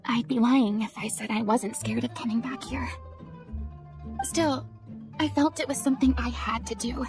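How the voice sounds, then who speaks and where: A woman's voice: a little high, steady tone, moderate pace, creaky, over music. A woman playing a dramatic, vulnerable young former stage idol in a video game, with theatrical, formal phrasing. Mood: wistful, hesitant.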